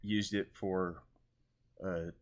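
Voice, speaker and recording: Very deep-voiced male host. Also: very slow. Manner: relaxed, rambling with fillers. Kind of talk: casual live show chat.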